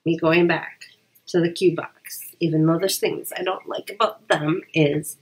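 Low-voiced woman chatting through an unboxing. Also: steady tone, unhurried, slightly rough, rough recording. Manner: chatty, thinks aloud with fillers. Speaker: vlogger. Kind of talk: casual unboxing chat. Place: living room at home.

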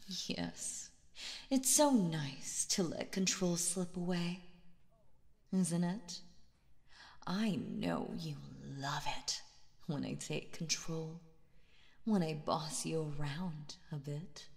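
A woman playing a calm, possessive, dominant boss in an intimate ASMR roleplay, speaking in a coaxing, breathy voice that often slips into whispers. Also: deep voice, exaggerated voice. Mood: hypnotic, controlling. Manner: slow, coaxing, seductively dominant. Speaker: voice actor narrating roleplay. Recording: long reverb on the voice.

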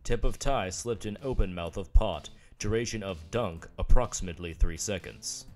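A man's voice: on the low side, moderate pace, lightly acted. A man playing an earnest, deadly serious businessman in a web comic dub, speaking in clipped, telegraphic phrases. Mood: grave, urgent. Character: earnest businessman dictating clipped, solemn text messages.

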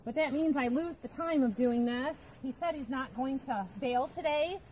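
Chatty woman working outdoors, higher voice. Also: moderate pace, darkish tone, slight background noise, low-quality recording. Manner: chatty, thinking aloud as she works. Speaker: homesteading channel host. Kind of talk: casual talk while working. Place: outdoors by a barn on a farm. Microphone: camera on a tripod nearby.

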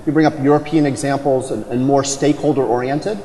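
A man's voice: medium pitch, steady tone, quick pace, warm tone, long reverb tail, slight background noise, rough recording. A man moderating a business conference panel, probing in a conversational way. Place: large conference hall stage.

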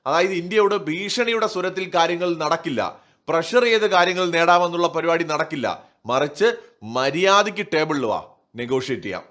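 A man's voice: a little high, expressive, moderate pace, fairly bright tone. A man giving opinionated political commentary in an emphatic, rhetorical manner. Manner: emphatic, rhetorical, asking and answering own questions. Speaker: online news commentator.

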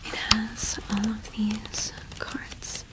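A woman's voice: mid-range voice, completely flat delivery, poor audio. Woman playing a whispering, kindly cottage witch. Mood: gentle, explanatory. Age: young adult. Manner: soft, unhurried, gently reassuring. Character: kind, welcoming cottage witch shopkeeper serving a traveler. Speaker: ASMR performer. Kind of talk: whispered ASMR roleplay.

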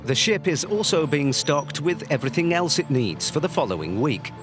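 A man's voice: on the high side, very animated, moderate pace. A man delivering scripted narration for a documentary. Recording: music under the voice. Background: background music.

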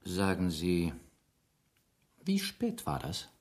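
Shrewd, low-voiced police inspector. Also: male voice, wildly swooping pitch, takes it slowly, full character voice. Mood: dry, politely sceptical. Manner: probing, dryly ironic questioning. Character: shrewd, persistent male police inspector, drily ironic. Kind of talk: German radio crime drama acting.

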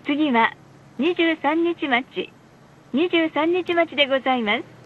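High-pitched woman making muffled bus announcements. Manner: polite, formal, measured enunciation. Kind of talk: scripted bus stop announcements and ad reads. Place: recorded announcement played inside a bus. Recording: muffled, lo-fi bus speaker recording.

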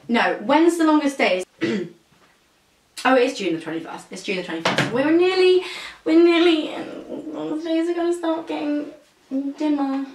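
A woman's voice: high voice, a little hiss or hum. Chatty young British woman, echoey room. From Britain. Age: young adult. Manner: chatty, rambling, self-mocking. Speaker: singer and vlogger. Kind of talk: casual chat to camera. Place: small room indoors at home.

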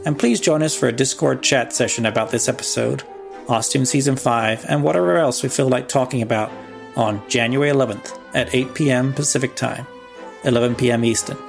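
A man's voice: mid-range voice, fairly quick, vocal fry. A man hosting a podcast, reading a scripted show promo and credits. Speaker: podcast host. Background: music.

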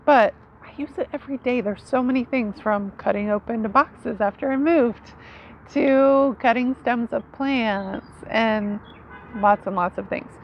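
A woman's voice: a little high, a little slow. A woman in her forties giving an informal, conversational explainer to camera outdoors, like a teacher showing gear. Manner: informal, conversational, often seeking agreement with "right". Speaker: foraging teacher presenting knives. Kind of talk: casual explainer talk to camera. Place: outdoors under a tree in a leafy yard. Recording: dull sound with outdoor ambient noise.

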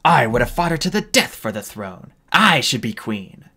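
A man's voice: medium pitch, very animated, moderate pace, sharp tone, slightly rough, full character voice. A man voicing a showy, theatrical young female dragon claiming a throne. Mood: dramatic.

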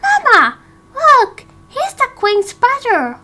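Girl doing a tiny excited village kid, super high voice, curious, game roleplay. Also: takes it slowly, heavily acted. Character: excitable lisping little village boy, curious. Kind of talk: amateur game roleplay voice acting.

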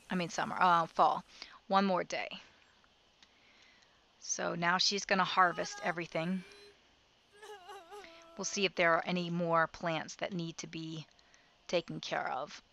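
A woman's voice: on the low side, sharp tone, a little hiss or hum. A woman casually commenting as she plays a life-simulation game, thinking aloud and giving her characters directions. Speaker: let's play host.

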